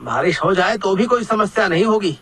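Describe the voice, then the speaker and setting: A man's voice: sits high, lively intonation, fairly quick, a bit bright, a little hiss or hum. A man playing a humble, eager samosa seller in a cartoon story, speaking politely and earnestly. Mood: worried, pleading. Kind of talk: Hindi cartoon story acting.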